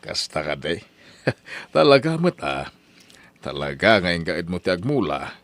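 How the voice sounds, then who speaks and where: A man playing a friendly older village uncle who gives advice in a lively, coaxing way. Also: low voice, a little slow, no echo, exaggerated voice. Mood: cheerful. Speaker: uncle figure. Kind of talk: radio drama acting.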